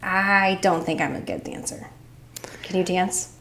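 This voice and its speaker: A woman's voice: fairly low pitch, a little slow, a bit bright, a little hiss or hum. A young adult woman co-hosting a podcast, talking in a laid-back way with swearing and laughs. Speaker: podcast co-host. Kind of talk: casual chat.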